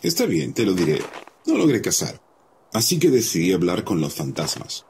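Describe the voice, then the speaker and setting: A man's voice: deep voice, huge pitch swings, normal speed, gravelly, a little mellow, breathy edge, full character voice. A man voicing a sly trickster fox in a children's cartoon, with a theatrical, wheedling delivery.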